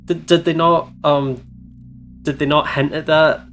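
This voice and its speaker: A man's voice: a little high, flat delivery, unhurried, fairly bright tone, soft background music. A man giving casual, hesitant, giggly reactions as a let's play co-commentator.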